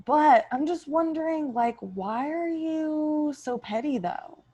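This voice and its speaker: A woman's voice: high-pitched, expressive, slow pace, mellow. A woman playing a defiant, sarcastic Lucifer who picks fights in an improvised comedy. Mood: needling. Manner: sarcastic, confrontational, repeats questions. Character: defiant, mouthy young Lucifer, challenging God.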